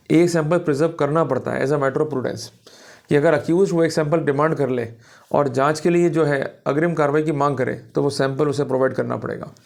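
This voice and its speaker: A man's voice: on the high side, vocal fry, darkish tone. An Indian man lecturing in an emphatic, didactic manner, often checking that listeners follow, as a law teacher. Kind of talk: instructional law lecture in Hindi mixed with English terms.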